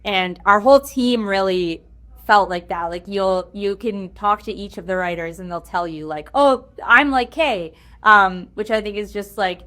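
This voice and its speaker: A woman's voice: mid-range voice, normal speed, fairly bright tone. A woman speaking casually as an interview guest, informal and thinking aloud as she goes. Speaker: game writer, interview guest. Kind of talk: casual chat.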